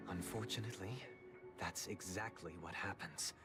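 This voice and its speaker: An actor playing a composed, solemn young man in a video game, a persuasive brother speaking in measured, formal phrases. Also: low voice, natural voice. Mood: solemn persuasion. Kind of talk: dramatic video game dialogue. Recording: music behind the voice.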